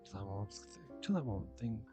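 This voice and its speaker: A man's voice: on the low side, expressive, fairly quick. A man reading video game dialogue and narration aloud, stumbling over words and correcting himself. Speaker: game streamer. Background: game music.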